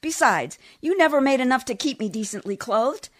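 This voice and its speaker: A woman plays a nagging, unimpressed wife in an old-time radio comedy. Her voice sits fairly high, and she delivers cutting, scolding retorts. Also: lively intonation, normal speed. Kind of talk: 1940s radio comedy acting.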